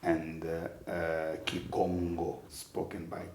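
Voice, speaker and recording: A man from Congo whose first language is Chiluba speaks in a very deep, flat voice. He explains slowly, stopping to search for words. The room is echoey, with a faint hiss behind him. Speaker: interviewee. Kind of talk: measured explanation.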